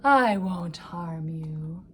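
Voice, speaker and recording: A woman voicing a sly hungry wolf in a storybook reading, slow and coaxing. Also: on the low side, animated, fairly bright tone, faint music behind, full character voice. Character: sly hungry male wolf, fake friendly.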